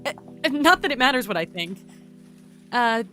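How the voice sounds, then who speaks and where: Anxious, earnest young facility worker, played by a woman, high and very animated, flustered delivery for audio drama. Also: music in the background. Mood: wistful, chatty. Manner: flustered, expressive, halting. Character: earnest, anxious, talkative young entity working at secret facility.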